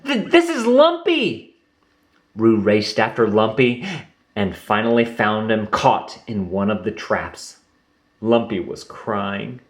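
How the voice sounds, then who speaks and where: A man reading a children's storybook aloud in an expressive, unhurried way. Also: lowish voice. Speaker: storybook reader.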